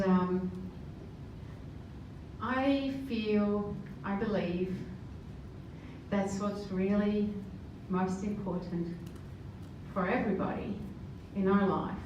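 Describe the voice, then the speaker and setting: A woman's voice: mid-range voice, fairly even intonation, very slow, pauses often, dull audio. A middle-aged woman from the Netherlands gives a motivational business presentation to a live audience. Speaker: business speaker. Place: roomy live event venue. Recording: echoey, distant, noisy room recording.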